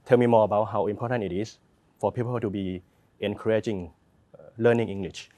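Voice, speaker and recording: Thai-accented host, hesitant and lowish. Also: male voice, fairly even intonation, a little fast, warm tone. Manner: hesitant, searching for words, frequent "mm" backchannel. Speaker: interview host. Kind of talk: casual interview talk. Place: dark studio set.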